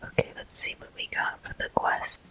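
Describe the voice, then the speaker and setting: A person whispering ASMR gameplay commentary as the host of a walkthrough, in a breathy, unvoiced, gentle and deliberate way. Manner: slow, gentle, deliberate delivery.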